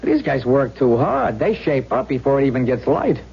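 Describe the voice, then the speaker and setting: An actor playing a talkative, easygoing police officer in a 1970s radio drama, folksy, informal and deferential. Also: male voice, medium pitch, big pitch swings, moderate pace, creaky. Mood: chatty, deferential. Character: easygoing, talkative male beat cop, eager to please. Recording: muffled, noisy vintage radio broadcast recording.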